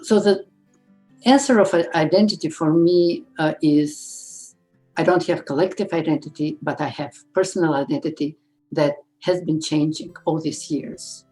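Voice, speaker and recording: A middle-aged woman from Uzbekistan answers interview questions, pausing thoughtfully between phrases. Her voice sits low and mellow. Soft guitar music plays faintly underneath. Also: poor audio. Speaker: librarian.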